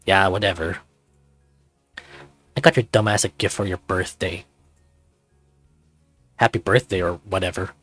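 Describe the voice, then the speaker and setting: A man's voice: deep voice, steady tone, a little slow, long pauses, fairly bright tone, faint music behind, voice pushed down. A man voicing a sarcastic teenage boy who teases his best friend in offhand slang. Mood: grudging.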